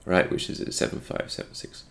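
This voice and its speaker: A man with a slightly congested voice, recovering from a cold, thinking aloud through an unscripted technical walkthrough. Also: a little fast. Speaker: host of a retro game programming video.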